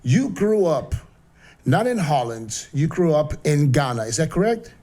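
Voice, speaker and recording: A man hosts a casual podcast and greets his guest in a friendly way. He asks loose, chatty questions in a voice pitched a little above the usual. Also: sing-song, measured pace, warm tone. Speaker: podcast host.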